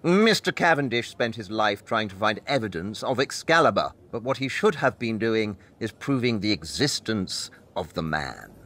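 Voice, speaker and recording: A precise, animated pedantic detective. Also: male voice, lowish voice, normal speed, few pauses. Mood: assured, dismissive. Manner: precise, didactic, emphatic. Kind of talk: scripted audio drama dialogue.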